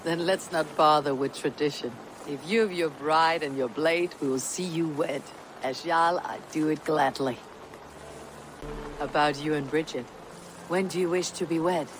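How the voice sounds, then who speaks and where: An actress playing a steady, commanding female Viking chieftain in a video game, speaking in a measured, stately way. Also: low voice, background noise. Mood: decisive. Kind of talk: dramatic video game acting.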